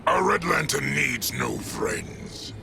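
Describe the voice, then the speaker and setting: An actor playing a raging, vengeful alien warlord in a video game, snarling with menace. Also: male voice, medium pitch, lively intonation, slow pace, creaky, heavily acted. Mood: vengeful rage.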